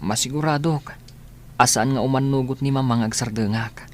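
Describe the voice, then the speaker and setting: A man's voice: mid-range voice, normal speed, slight background noise, natural voice. A man playing a dutiful, determined, sickly young student in a radio drama, speaking in an earnest, reassuring way. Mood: reassuring, grateful.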